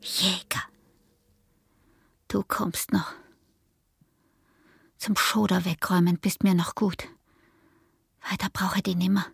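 An actress playing a scheming, defiant peasant wife in a radio drama, with an Austrian accent and a taunting, often hushed delivery. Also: female voice, fairly low pitch, flat delivery, slow pace, gravelly, pauses often, breathy edge, heavily acted. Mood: bitter, defiant.

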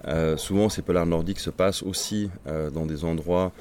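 A man whose first language is Swedish, a crime novelist answering interview questions in a reflective, halting way with many restarts. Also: low voice, steady tone, darkish tone, slight background noise.

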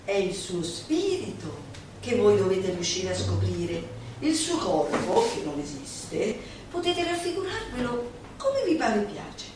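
A woman giving a theatrical recitation, declamatory and playful, with dramatic pauses and asides to her listeners. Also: mid-range voice, very animated. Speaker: solo stage performer. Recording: very echoey live hall, distant mic, music behind.

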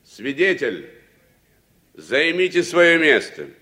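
An overbearing congressman in a radio drama, officious and curt. His voice is forceful and fairly high, with strongly swinging intonation. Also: very animated, slow, full character voice. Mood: cold, imperious. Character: brusque, overbearing congressman chairing a hostile hearing. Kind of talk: Soviet radio drama acting.

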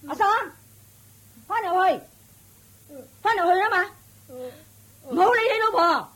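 An actress playing a harsh, scolding elderly mother-in-law in a radio drama, with a husky, snapping, scornful voice. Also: extremely high pitch, animated, unhurried, fairly bright tone, exaggerated voice. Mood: furious. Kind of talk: Cantonese radio drama acting.

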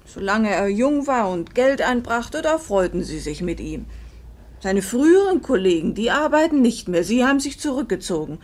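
A woman's voice: fairly high pitch, sing-song, moderate pace, a little mellow. An actress playing a weary, protective older mother in a 1950s German radio drama, earnest, emphatic and pleading. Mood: bitter, impassioned. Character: weary, protective older mother defending her exhausted husband.

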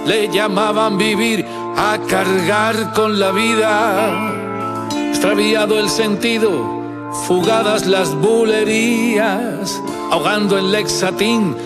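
A woman's voice: mid-range voice, steady tone, slow. A woman from delivering a poetic recitation. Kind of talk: poetic spoken recitation over music. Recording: loud guitar music over voice. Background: guitar music.

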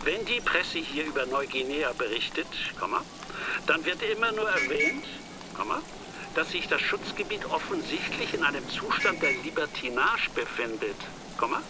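A stern colonial official in a radio drama. The voice is fairly high and very animated as it dictates with officious exasperation. Clear ambient noise sits behind it. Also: male voice. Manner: speaks punctuation aloud, officious.

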